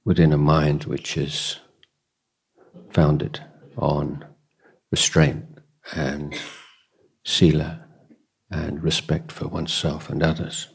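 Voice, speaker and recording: A man with an extremely low, husky voice leads a guided meditation. He speaks as a dhamma teacher in short, deliberate phrases, leaving long pauses between them. The delivery is slow and measured throughout. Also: little pitch movement. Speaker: Buddhist monk and meditation teacher.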